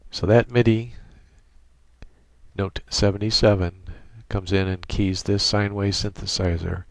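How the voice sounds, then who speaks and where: A man giving an unscripted software tutorial in a methodical, technical way with halting phrasing, close on a USB microphone. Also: lowish voice, steady tone, vocal fry, no room sound. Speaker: tutorial presenter.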